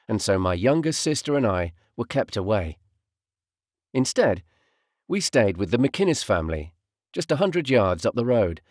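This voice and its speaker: Man, audiobook narrator. Reflective storytelling, animated pitch movement, close dry recording. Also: no echo. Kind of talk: literary first-person audiobook narration.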